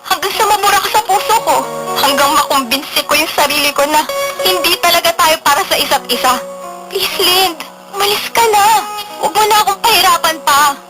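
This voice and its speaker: High-voiced, heartbroken young Filipino woman. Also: fairly quick, over loud music, low-quality recording. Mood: anguished, pleading. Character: heartbroken, guarded young woman pushing away her love. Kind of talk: emotional radio drama acting.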